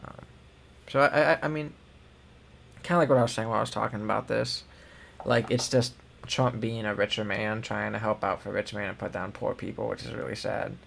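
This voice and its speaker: A man's voice: on the low side, fairly even intonation, brisk, gravelly, a bit bright, a little hiss or hum. A young man co-hosting a news show, reading headlines and then giving loose, filler-heavy opinions. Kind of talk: casual news commentary.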